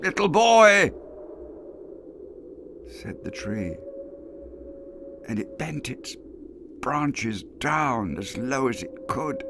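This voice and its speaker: Man, storyteller, expressive dramatic reading, lively melody, hushed asides. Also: mid-range voice, creaky, noisy background. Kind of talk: dramatic reading of a classic tale. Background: soft music underscore.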